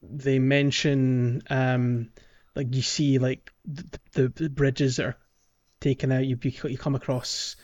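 A man's voice: medium pitch, flat delivery, takes it slowly, a little mellow, muffled recording. A man co-hosting a gaming podcast in casual chat, rambling and hesitant with many fillers and restarts. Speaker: podcast co-host.

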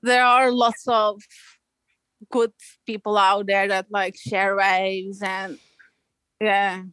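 A woman's voice: medium pitch, little pitch movement, slow pace, fairly bright tone, no room sound. A woman from Belgium with a Belgian accent, telling personal stories in a casual interview, using informal surf slang. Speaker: podcast guest, amateur big wave surfer.

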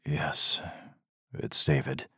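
A man's voice: deep voice, slow pace, a little mellow, husky, dry recording, clean studio sound, exaggerated voice. A man voicing an anguished middle-aged doctor who groans and pleads with his wife in an audiobook reading. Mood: grim. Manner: strained, groaning, restraining.

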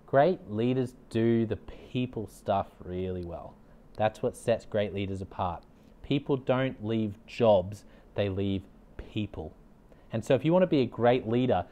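A middle-aged man with an Australian accent and a somewhat low voice talks straight to his listeners about leadership. He sounds earnest and upbeat, and he repeats his main points for emphasis. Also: darkish tone. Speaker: leadership consultant and podcast host. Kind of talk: direct-to-camera motivational talk.

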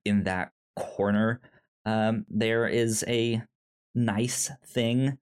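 A man co-hosting a podcast in casual review chat, speaking haltingly and often stammering over syllables and words. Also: on the low side, fairly even intonation, smooth. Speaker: podcast co-host.

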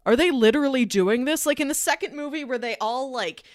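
A woman's voice: sits high, fairly quick, no echo, clean studio sound. A woman co-hosting a podcast, chatting casually about films and peppering her explanations with "like". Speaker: podcast co-host. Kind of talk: casual film discussion chat.

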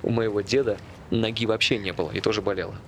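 An actor playing a calm, practical man who speaks laconically and asks probing questions in a naturalistic stage play. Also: fairly low pitch, quick pace, a little hiss or hum, close to an everyday voice. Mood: persuading.

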